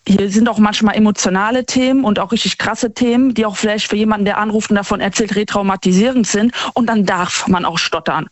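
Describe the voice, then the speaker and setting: A young man calling in, speaking casually and bluntly, haltingly repeating words and syllables. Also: high voice, muffled recording. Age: young adult. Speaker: caller. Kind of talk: casual opinionated phone-in talk.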